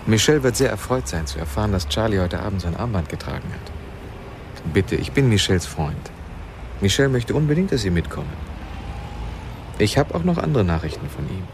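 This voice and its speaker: A man's voice: fairly low pitch, big pitch swings, moderate pace. Polite, insistent young man, noisy street. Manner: formal, courteous, insistent. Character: polite, insistent young male lawyer acting as go-between. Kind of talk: radio drama acting. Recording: noisy outdoor street ambience with vehicles.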